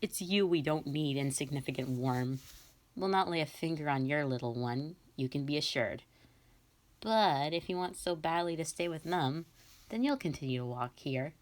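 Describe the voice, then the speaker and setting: A woman's voice: low voice, expressive, normal speed. A woman voicing a sadistic, vengeful female fox spirit in a scripted audio drama, theatrical and condescending. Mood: cold, menacing.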